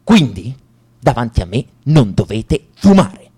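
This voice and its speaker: A self-righteous male bully in a radio play. The voice is mellow and mid-pitched, with very animated intonation. He states his intolerance with emphatic, matter-of-fact menace. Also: measured pace, slightly rough, heavily acted. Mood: smug menace. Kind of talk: dramatic radio play monologue.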